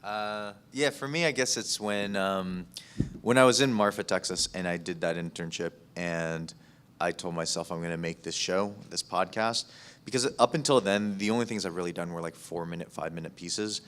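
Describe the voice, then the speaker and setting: Man, deep pitch, informal panel talk, personal anecdotes, conversational, podcast producer. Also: normal speed, fairly bright tone, clear, resonant tone.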